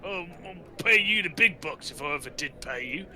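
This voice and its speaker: A man voicing a brash, dim, bossy brute adventurer who talks loudly in broken rustic grammar. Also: on the high side, animated, normal speed. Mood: cheerfully bossy. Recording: music behind the voice.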